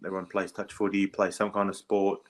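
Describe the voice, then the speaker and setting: An Australian man in his thirties, a guest on a podcast, talking casually and hesitantly with many pauses and restarts. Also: deep voice, little pitch movement. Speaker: podcast guest, former rugby league player. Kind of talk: casual interview chat.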